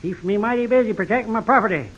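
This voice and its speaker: An actor playing a loony, territorial old prospector with a cackling voice and a rustic Western American accent in an old-time radio western. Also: male voice, racing, a bit bright. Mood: proud, territorial. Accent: rustic American West. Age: older. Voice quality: cackling, high old-timer voice. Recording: dull lo-fi vintage radio recording.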